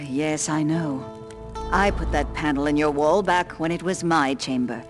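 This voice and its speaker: Fantasy game older female head of a sorceress order: measured, formal, commanding, music underneath. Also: low voice. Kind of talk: scripted fantasy game acting.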